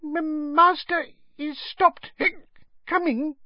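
A performer voicing a tipsy, tearful, fiercely loyal female house elf, squeaky and whimpering in broken speech for a storybook. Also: fairly even intonation, takes it slowly, no echo, highs cut off. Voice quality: very high, squeaky. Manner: whimpering, hiccuping, broken third-person speech.

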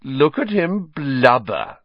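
A man's voice: fairly high pitch, huge pitch swings, normal speed, vocal fry, husky, no room sound, dull audio, voice pushed up, exaggerated voice. A man voicing a spiteful, sneering teenage bully in a storybook, mocking as he talks.

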